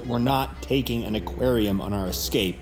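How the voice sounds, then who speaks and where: A man's voice: mid-range voice, moderate pace, over music, natural voice. An actor playing a flustered, incredulous man leading an escape in an audio drama, stammering and repeating himself in exasperation. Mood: exasperated, incredulous. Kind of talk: immersive audio drama acting.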